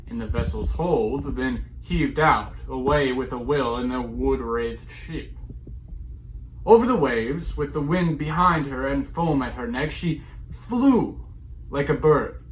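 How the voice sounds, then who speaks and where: A man narrating epic verse as a dramatic storyteller, solemn and theatrical, with deliberate pauses and swells. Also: a little high. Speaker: storyteller performing an epic poem. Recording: clearly noisy recording, background ambience.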